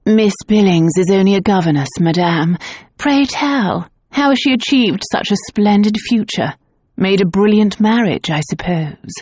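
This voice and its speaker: Casting: mocking upper-class lady, read by a woman, dry and husky. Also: medium pitch, expressive, moderate pace, a little mellow, no echo, close to an everyday voice. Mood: droll. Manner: droll, sneering.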